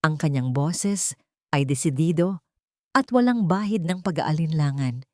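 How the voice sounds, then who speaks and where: A woman's voice: deep voice, animated, a little slow, dry recording, clean studio sound. A woman narrating a dramatic scripted story with solemn, emotive delivery.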